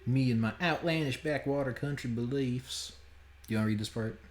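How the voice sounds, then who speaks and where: A man's voice: medium pitch, a little fast, slight background noise. A man co-hosting a game stream in casual banter, wisecracking with crude humour.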